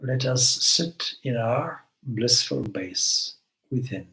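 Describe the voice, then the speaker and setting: Very slow-speaking man guiding meditation. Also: medium pitch, animated, gravelly, rough recording. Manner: gentle instructional phrasing with long pauses. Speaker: swami leading guided meditation. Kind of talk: guided meditation.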